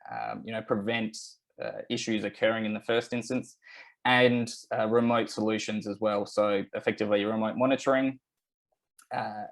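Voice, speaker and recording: A man with an Australian accent presenting a technical webinar over a video call, relaxed and full of fillers and restarts. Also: lowish voice.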